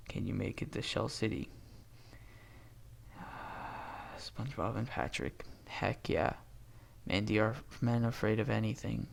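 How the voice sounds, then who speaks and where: A husky-voiced man reading a film script aloud, stage directions and dialogue alike, stumbling and correcting himself and breaking off into asides. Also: fairly low pitch. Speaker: amateur reader doing a long script read-aloud.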